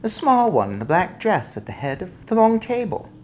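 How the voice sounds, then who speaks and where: A narrator voicing an old Norwegian grandmother who is calm, measured and mischievous, in a children's storybook. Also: mid-range voice, a little hiss or hum, pitched above the performer's own voice, close to an everyday voice. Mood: firm. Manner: measured, unruffled, matter-of-fact.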